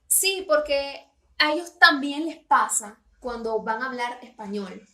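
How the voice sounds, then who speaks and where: A woman's voice: sits high, expressive, unhurried, pushed and bright, reverberant. A woman from Peru co-hosting a casual podcast about learning English, talking with frequent hesitations and fillers. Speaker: podcast co-host.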